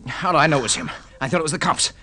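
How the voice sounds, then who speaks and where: A man's voice: a little high, expressive, very fast, background noise, lightly acted. A man playing a jumpy, suspicious, trigger-happy fugitive gangster in an old-time radio crime drama, urgent and defensive. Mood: panicky, defensive.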